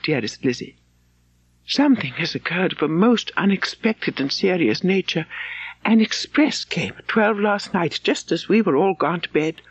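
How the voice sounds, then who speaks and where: A woman voicing an anxious, gentle young gentlewoman in a refined, sorrowful, earnest manner, as in an audiobook of a classic novel. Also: mid-range voice, breathy edge, lo-fi sound. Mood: grave news.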